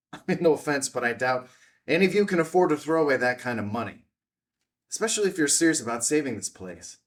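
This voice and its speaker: An actor at a screenplay table read playing an earnest, skeptical man, with halting, thoughtful phrasing. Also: medium pitch, lively intonation, natural voice. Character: Ben, skeptical, earnest male outsider investigating a scam.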